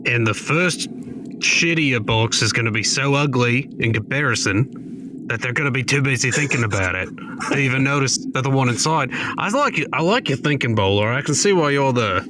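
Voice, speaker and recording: A man's voice: medium pitch, lively intonation, moderate pace. A man playing a tabletop game, chatting casually and improvising in character. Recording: clear background ambient noise.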